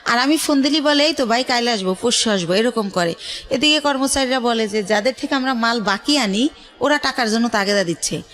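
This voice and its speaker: A middle-aged woman with a Bangladeshi accent, telling her life story haltingly as a guest in a radio interview. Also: on the high side, a bit bright.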